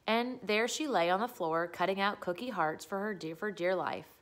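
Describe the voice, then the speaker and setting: A woman narrates a children's book. Her voice is of middle pitch. She reads aloud in the style of a storyteller. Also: moderate pace.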